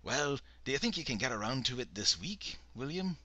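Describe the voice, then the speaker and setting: A man voicing a gruff, commanding old male cult elder with a Scottish accent. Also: mid-range voice, big pitch swings, moderate pace, bright, forceful tone, no echo, voice pushed up. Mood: exasperated. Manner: exasperated, commanding.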